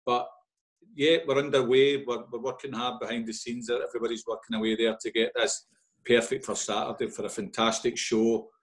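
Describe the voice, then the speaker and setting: A man's voice: mid-range voice, flat delivery, fairly quick. A Scottish man, a club official, giving fans an informal and enthusiastic update over a video call, often repeating himself.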